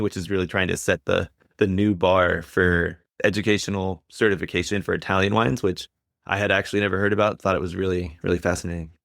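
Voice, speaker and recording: A man's voice: deep voice, fairly bright tone, dry recording, studio quality. A man hosting a podcast in a casual, conversational style. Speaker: podcast host.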